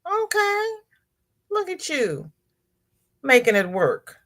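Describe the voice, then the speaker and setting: Slow, lively-voiced woman hosting a craft stream. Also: sits high. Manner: warm, chatty, reads comments aloud and greets viewers by name. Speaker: host of a crochet livestream. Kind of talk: casual livestream chat reading viewer comments.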